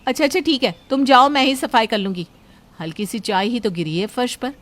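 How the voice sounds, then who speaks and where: A woman voicing a brisk, dismissive young daughter-in-law in a household story, giving offhand instructions. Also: on the high side, lively intonation, natural voice.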